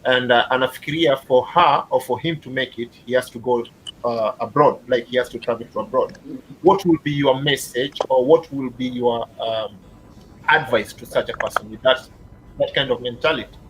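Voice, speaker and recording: A man's voice: mid-range voice, moderate pace, a bit bright, a little hiss or hum, rough recording. A man hosting a live interview show, asking guests questions and encouraging viewers in a chatty way. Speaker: host.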